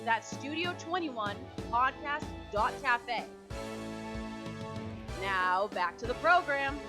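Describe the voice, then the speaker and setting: High-pitched female ad announcer over music. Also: animated, slow pace. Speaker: advertisement announcer. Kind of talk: scripted promotional narration.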